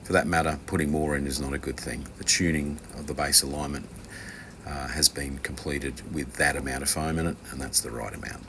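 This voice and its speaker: Really deep voiced guy doing a casual how-to, kinda rambly and dry, bright edge, bit of background noise. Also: fairly even intonation, fairly quick. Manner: hesitant, rambling delivery with dry, offhand asides. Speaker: instructor from a loudspeaker kit maker. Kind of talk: informal hands-on assembly tutorial, unscripted.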